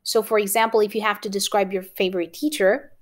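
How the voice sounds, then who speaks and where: A woman's voice: mid-range voice, level tone, quick pace. A woman with a Romanian accent teaching an exam-prep lesson to camera, encouraging and often checking in with "okay?". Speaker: English teacher.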